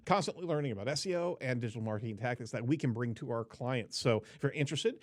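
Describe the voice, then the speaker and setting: A man's voice: mid-range voice, fast. A man hosting a news podcast, chatting in an upbeat way and laughing often. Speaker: podcast host. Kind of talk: casual news podcast chat.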